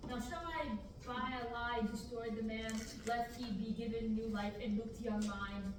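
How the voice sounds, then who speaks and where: A young woman reading the part of a stern middle-aged farmer uncle in Shakespearean verse at a student table reading, steady and measured. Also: fairly high pitch, close to an everyday voice. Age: young adult. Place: large classroom, indoors. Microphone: far camera. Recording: echoey room, from far off, background hiss.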